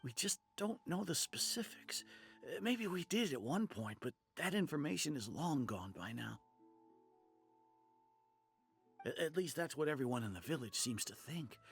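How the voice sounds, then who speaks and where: An actor playing a weary, sincere village merchant in a video game, hesitant and earnest, with a gravelly voice. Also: male voice, a little high, very animated, moderate pace. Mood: flustered, apologetic. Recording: music under the voice. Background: game music.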